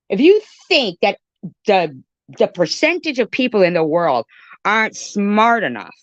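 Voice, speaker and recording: A woman's voice: fairly low pitch, dry recording, muffled recording. A woman giving an informal live-stream rant in an irritated, emphatic way, repeating phrases to drive her point home.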